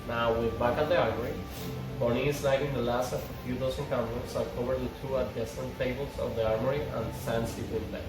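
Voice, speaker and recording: A man's voice: medium pitch, flat delivery, brisk, recorded from a distance. A man narrates a table read, reading stage directions aloud. He sounds some way from the mic in an echoey room, with music underneath.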